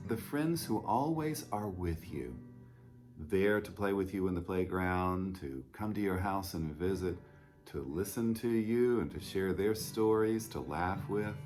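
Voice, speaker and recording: A man who is a pastor hosting storytime, talking and reading to children in simple, unhurried phrases with questions to young listeners. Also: low-pitched, a little mellow. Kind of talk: gentle storytime talk and picture-book reading for children.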